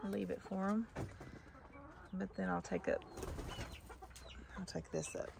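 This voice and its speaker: Brief: older woman, chatty backyard chicken keeper, outdoors, hens clucking clearly behind her. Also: medium pitch, fairly even intonation, slow, background noise. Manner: chatty, sing-song greetings to her hens. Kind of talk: casual walk-through chat.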